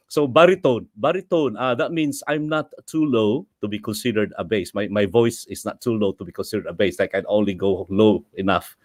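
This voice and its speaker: A middle-aged man with a Filipino accent hosts his own livestream in an easy, chatty way, laughing often and slipping between English and Filipino. His voice sits on the low side. The sound is dry and close, with no room echo. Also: normal speed. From Philippines. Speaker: host. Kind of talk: casual livestream talk.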